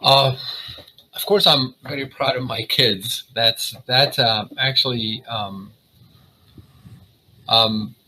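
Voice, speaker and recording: A middle-aged man from Syria, a cardiologist guest, talking earnestly in a halting way with many fillers and restarts. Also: mid-range voice, sharp tone, husky, poor audio. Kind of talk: casual interview talk.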